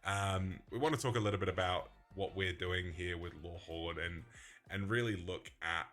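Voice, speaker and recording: Low, bright-voiced laughing man. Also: steady tone, normal speed. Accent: mixed English and Australian. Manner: chatty, laughs easily. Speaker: podcast co-host. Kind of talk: casual chat.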